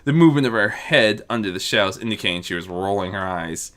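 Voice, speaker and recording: A reader narrating a fan fiction story with expressive delivery. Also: low voice, fairly quick. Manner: expressive, wide-ranging delivery.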